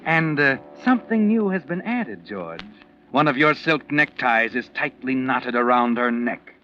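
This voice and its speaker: A man's voice: mid-range voice, expressive, unhurried, lightly acted. A man playing an ominous, taunting narrator in a 1940s radio mystery, speaking in a dramatic, insinuating way. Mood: taunting, grim. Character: ominous all-knowing male narrator taunting the accused man. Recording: muffled old 1940s radio recording, music behind.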